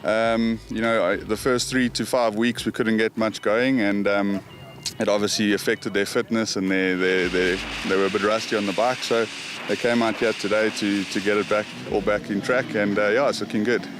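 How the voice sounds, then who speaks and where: A man's voice: on the low side, steady tone, moderate pace, noisy background. A South African-accented man answering casually in an interview as a team manager.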